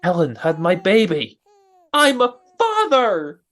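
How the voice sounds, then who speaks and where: A man's voice: high voice, wildly swooping pitch, slow, fairly bright tone, heavily acted. A man playing a nervous, fretful expectant father with an anxious stammer in a radio drama. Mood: excited. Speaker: amateur radio drama actor.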